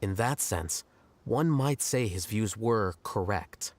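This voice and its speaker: An actor playing an aloof, rational young male scholar in a video game, measured, detached and matter-of-fact. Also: lowish voice, lively intonation, no echo. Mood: detached, philosophical. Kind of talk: video game character acting.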